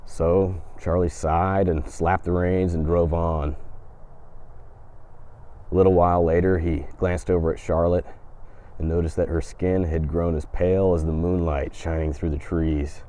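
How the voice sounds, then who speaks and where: A man hosting and telling a folk tale in an unhurried, dramatic storytelling manner. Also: deep voice, level tone, mellow. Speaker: host and storyteller.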